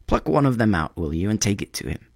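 Guy doing a kind sorrowful prince statue in a storybook, solemn pleading, lowish voice, no room sound. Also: sing-song, quick pace, gravelly, slightly rough, no echo, studio quality, heavily acted. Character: sorrowful, gentle male prince statue, compassionate and commanding.

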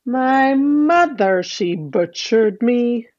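A woman voicing a magical singing bird in a slow, chanted sing-song. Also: on the high side, lively intonation, darkish tone, muffled recording. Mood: chanting.

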